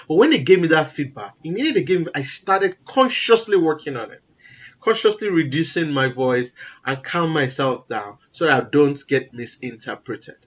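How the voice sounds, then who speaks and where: A man from Nigeria with a naturally loud voice, talking as an interview guest in an animated, emphatic way. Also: fairly high pitch. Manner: animated, emphatic, repeats words for stress. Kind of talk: casual interview conversation.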